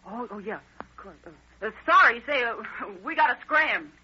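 A dull vintage radio recording of a scatterbrained crook. The voice is high and piercingly sharp, with a flustered stammer. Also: male voice, expressive, moderate pace, slight background noise, full character voice. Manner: stammering, easily distracted. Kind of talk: old-time radio drama acting.

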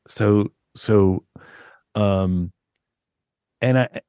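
Deep voiced guy rambling about his writing, lowish pitch, very dry sound. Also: drawn-out words, mellow, clear, resonant tone, dry recording. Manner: rambling, many restarts and fillers. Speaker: author guest. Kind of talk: casual interview chat.

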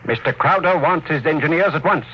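An actor playing an obedient, deferential office aide in an old-time radio drama, on a muffled, hissy recording. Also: male voice, on the high side, a little fast, close to an everyday voice. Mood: dutiful. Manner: deferential, formal. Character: obedient, eager office aide serving a tycoon.

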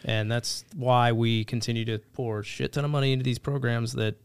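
A man's voice: medium pitch, fairly even intonation, brisk, clean tone. A man talking as a guest on a casual podcast, blunt and swearing freely about accountability.

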